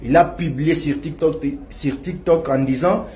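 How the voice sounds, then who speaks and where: Halting Congolese man, higher voice. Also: a little mellow, slight background noise. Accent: Congolese French. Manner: halting and repetitive, many restarts and fillers. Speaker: man clearing his name. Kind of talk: video statement.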